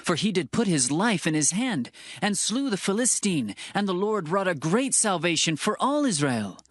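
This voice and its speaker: A man's voice: high-pitched, sing-song, moderate pace, no room sound, clean studio sound. A man playing a loyal, earnest young prince of ancient Israel in a dramatized scripture reading, with formal archaic phrasing. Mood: pleading.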